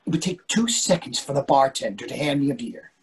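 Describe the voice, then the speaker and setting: A man's voice: medium pitch, animated, a little fast, close to an everyday voice. A man in his sixties playing a dry, evasive husband with a questioning manner, in a play read over a video call. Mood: scheming. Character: dry, evasive older husband itching to escape.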